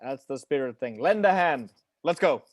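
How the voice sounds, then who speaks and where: A man's voice: mid-range voice, expressive, moderate pace, no echo, lightly acted. A man playing a theatrical, devoted family patriarch with grandiose, declamatory delivery in a film script table read. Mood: brisk, encouraging.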